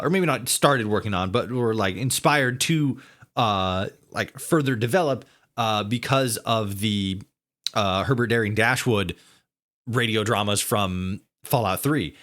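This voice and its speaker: A man hosting a casual interview podcast, building long questions haltingly and repeating words as he goes. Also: on the low side, a bit bright.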